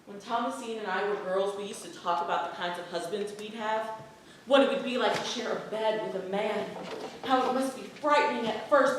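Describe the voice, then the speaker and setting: A woman's voice: medium pitch, a little hiss or hum, close to an everyday voice. A woman playing a pious, guilt-ridden Puritan wife and mother, delivering an earnest, impassioned stage monologue. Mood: wistful, envious. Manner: earnest, impassioned, swelling from hushed to loud. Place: playhouse stage with live audience. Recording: distant mic in very echoey hall.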